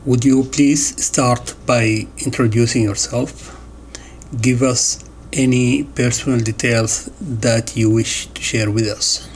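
A man reading an interview transcript aloud in a measured way, announcing each question and answer. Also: medium pitch, steady tone, slight background noise. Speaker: voice-over reader of an interview transcript.

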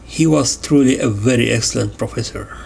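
A man reading an interview transcript aloud in a measured way, announcing each question and answer. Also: medium pitch, creaky, a little mellow, a little hiss or hum. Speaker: voice-over reader of an interview transcript.

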